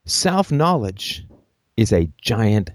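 A man hosting a call-in show, talking in a lively, emphatic way and repeating phrases for effect. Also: on the low side, slow pace, no echo, studio quality.

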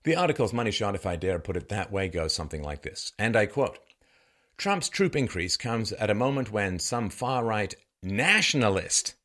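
Man, slightly low-pitched, forceful bright tone, sarcastic commentary, theatrical emphasis, rhetorical. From born in Ireland, grew up in England. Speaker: host of a political commentary show. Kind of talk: opinion commentary monologue.

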